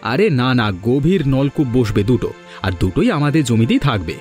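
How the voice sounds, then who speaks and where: A man's voice: medium pitch, big pitch swings, a little fast, darkish tone, faint music behind, lightly acted. A man voicing a talkative, self-assured villager in a cartoon folk tale, conversational and emphatic. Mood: scheming, confident.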